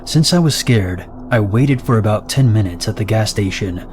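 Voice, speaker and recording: Horror narrator, male, lowish voice, steady delivery, music bed under the voice. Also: moderate pace. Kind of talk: scripted narration of reader-submitted stories. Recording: narration over loud background music.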